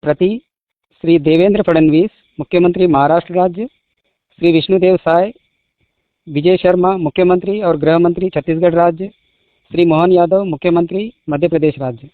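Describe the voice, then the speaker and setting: A man reading out a formal statement as a spokesman, in measured short phrases, over a phone call. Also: high-pitched, steady tone, no room sound. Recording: muffled phone-line call recording.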